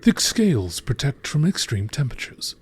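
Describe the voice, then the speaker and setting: A man reading scripted nature documentary style narration in a measured, informative way. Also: medium pitch, sing-song, husky. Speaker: narrator reading game text.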